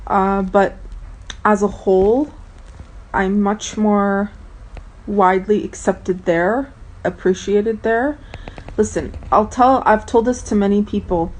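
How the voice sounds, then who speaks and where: A woman's voice: medium pitch, steady tone, takes it slowly, low-quality recording. A woman whose first language is Armenian, talking frankly and casually to her viewers in a vlog. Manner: chatty, frank, addresses viewers directly. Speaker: vlogger.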